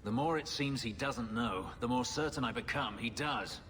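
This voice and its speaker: A man's voice: mid-range voice, normal speed, a bit bright, lightly acted. An actor playing a brooding, guarded male elf who escaped slavery, intense and terse, in a video game. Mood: worried, guarded. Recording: faint background noise.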